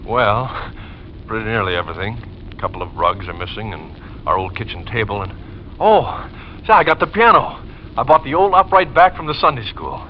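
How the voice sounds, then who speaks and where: A dull, noisy old radio recording carries an actor as a gentle widower, earnest and nostalgic. His mid-pitched voice swings expressively as he clings politely to the memory of his old home. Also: expressive, moderate pace, natural voice. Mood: anxious, insistent. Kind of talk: 1940s radio drama acting.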